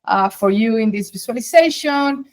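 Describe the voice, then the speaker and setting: A woman's voice: fairly high pitch, lively intonation, moderate pace. A middle-aged woman from Colombia, a native Spanish speaker with a Colombian accent, giving long emphatic answers as an interview guest. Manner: emphatic, explains in long flowing runs with rephrasings. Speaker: guest, data science executive.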